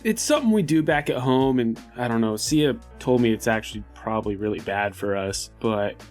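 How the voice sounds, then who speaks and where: A man's voice: mid-range voice, a little fast, a little mellow, soft background music. A man playing a tabletop RPG, improvising casually in character in a hesitant, rambling way. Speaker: player in a tabletop RPG podcast.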